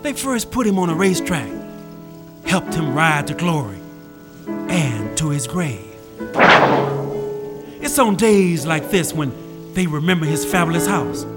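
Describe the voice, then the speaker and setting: A man narrating a story in a measured, solemn, evocative way. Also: a little high, very animated. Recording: narration over clear music. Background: music and rain.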